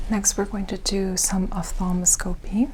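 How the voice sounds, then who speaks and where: A woman whispering softly and gently in an ASMR medical roleplay, reassuring as she explains each step. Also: medium pitch, little pitch movement, normal speed, slight background noise. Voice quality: soft, breathy whisper. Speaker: ASMR creator playing a medical examiner.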